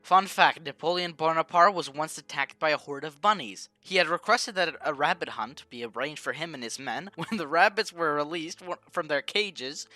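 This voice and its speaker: A man's voice: on the high side, pushed and bright, no echo. A man hosting an informal comedy history video, narrating in a jokey, slangy, irreverent way. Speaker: host of a history video series.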